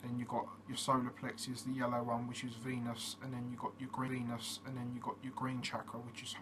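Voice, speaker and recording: A man hosting his own channel, giving a casual explanatory monologue in a steady way, with frequent fillers. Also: mid-range voice, fairly quick. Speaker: channel host. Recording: noticeable background noise.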